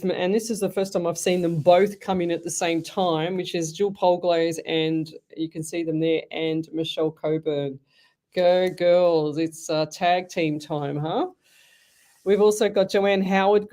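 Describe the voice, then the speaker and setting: A woman's voice: fairly low pitch, mellow. An Australian woman hosting a live radio show as a psychic medium, chatty and rambling, often restarting her sentences. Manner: chatty, rambling, self-interrupting.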